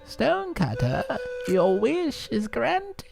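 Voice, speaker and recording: A man voicing a gleeful magic spirit from a folk tale, whispering slowly as it grants wishes. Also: extremely high pitch, huge pitch swings, creaky, a little mellow, soft background music, voice pushed up, full character voice. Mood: gleeful, enchanting. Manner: gleeful, drawn-out.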